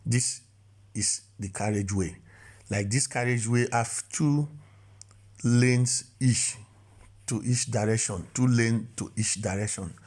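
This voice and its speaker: A man's voice: medium pitch, slow, darkish tone. Man explaining driving rules, often restarting. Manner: repetitive, self-correcting, stammers on restarts. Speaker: driving instructor. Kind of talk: explanatory voice-over lesson.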